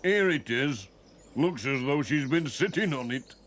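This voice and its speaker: A man's voice: medium pitch, expressive, a little slow, fairly bright tone, a little hiss or hum. A man voicing an easygoing, helpful village handyman in a children's cartoon. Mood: cheerful. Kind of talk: children's cartoon character acting.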